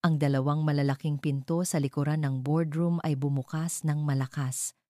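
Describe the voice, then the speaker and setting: Dark-voiced woman narrating, even pitch. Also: no room sound, studio quality. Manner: measured, deliberate, short dramatic phrases. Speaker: story narrator. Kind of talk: dramatic scripted first-person story narration.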